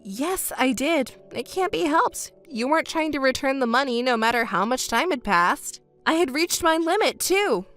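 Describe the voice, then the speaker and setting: A woman's synthetic voice playing a scornful young woman confronting her cheating ex with sharp, cutting retorts in an audio drama. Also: high voice, expressive, normal speed, few pauses, faint music behind.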